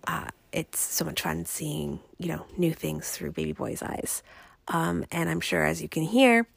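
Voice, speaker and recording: A young woman podcast host chatting casually and ramblingly, her voice raspy and congested as she recovers from illness. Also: low voice, a little slow, no room sound. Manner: rambling, conversational. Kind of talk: casual personal podcast chat.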